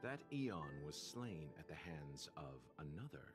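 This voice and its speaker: A man playing a courteous, worldly, enigmatic merchant in a video game, with refined, formal, gently amused speech. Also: very deep voice, sing-song, unhurried, heavily acted. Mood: philosophical, amused. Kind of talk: video game character dialogue. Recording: prominent background music under the voice.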